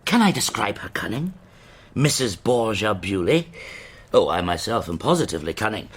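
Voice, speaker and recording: Florid, cunning Victorian eccentric, wildly swooping. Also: male voice, lowish voice, very animated, moderate pace, husky. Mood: sly, excited. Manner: florid, declaiming, mocking. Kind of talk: radio drama acting.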